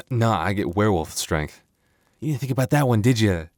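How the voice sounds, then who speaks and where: Male actor as young werewolf boyfriend for intimate audio roleplay: low-pitched, dry close sound, teasing yet devoted and soft-hearted. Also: dry recording. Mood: teasing, playful. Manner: conversational, teasing, halting when flustered.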